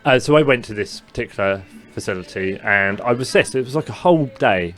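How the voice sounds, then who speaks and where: A young man with a mild stutter who co-hosts a podcast, telling a personal story in casual chat with many fillers and halting restarts. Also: on the low side, animated, fairly bright tone, soft background music. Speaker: podcast co-host.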